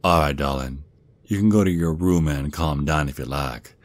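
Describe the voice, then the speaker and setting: A man playing a protective werewolf father and gruff sergeant with a Southern US accent, in an ASMR roleplay with a folksy drawl. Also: a bass voice, no echo. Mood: loving. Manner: doting, folksy, slow drawl with pet names.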